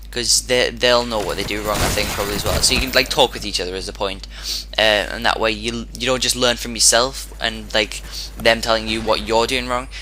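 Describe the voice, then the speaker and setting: Hoarse, bright-voiced young gaming commentator man. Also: on the low side, a little hiss or hum. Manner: rambling, stops and restarts sentences. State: hoarse from a sore throat. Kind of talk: casual gameplay commentary.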